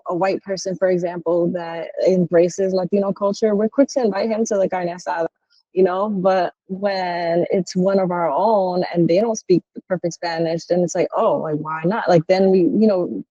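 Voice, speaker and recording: Female podcast co-host, American accent. Mellow, relaxed tone in a dry, slightly lo-fi recording. Conversational and opinionated. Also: lowish voice, normal speed, no echo. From New York, United States. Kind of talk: casual reflective conversation.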